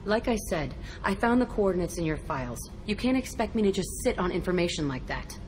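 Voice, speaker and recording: An actress playing an embittered, tough young ex-prisoner in a video game, blunt, terse and profane. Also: female voice, fairly low pitch, very animated, fairly quick, a little mellow. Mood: angry, defiant. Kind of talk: video game dialogue acting.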